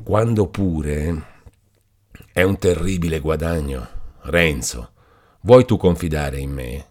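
A man's voice: sits low, normal speed, darkish tone, a little hiss or hum, lightly acted. A man reading an audiobook voices a stern but compassionate older friar who speaks in a grave, admonishing way. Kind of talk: audiobook character voice.

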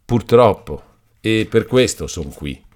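Brief: solemn older friar, grave and firm, raised deep voice, as a male narrator voices him. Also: animated, slow pace, a little mellow. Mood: consoling. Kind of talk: audiobook character voice.

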